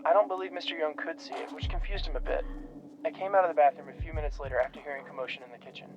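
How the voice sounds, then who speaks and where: Muffled, fast-talking actor as male paranormal researcher. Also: a little high, fairly even intonation, lightly acted. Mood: earnest, absorbed. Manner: formal, detailed recounting. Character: earnest male paranormal researcher recounting events on tape. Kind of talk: audio drama monologue, taped account. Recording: muffled radio-like tape, music behind.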